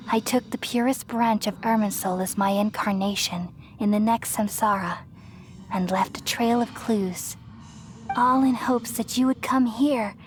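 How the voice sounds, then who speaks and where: Woman voicing a serene high-voiced ancient goddess. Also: slight background noise, natural voice. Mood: resigned, solemn. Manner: solemn, measured, poetic storytelling. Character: gentle ancient goddess of wisdom, serene and self-sacrificing. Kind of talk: scripted dramatic game voice acting. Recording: music behind the voice.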